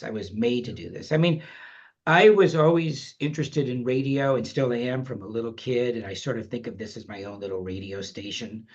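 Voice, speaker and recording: A man's voice: medium pitch, a bit bright. Man with a dull, muffled remote mic. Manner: reflective, rambling, many you-knows and restarts. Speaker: podcast guest. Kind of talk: casual interview chat.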